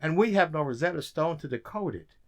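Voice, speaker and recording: An actor playing a weary, dry-witted government codebreaker in an emphatic radio drama style. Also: male voice, a little high, animated, brisk. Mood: weary, frustrated.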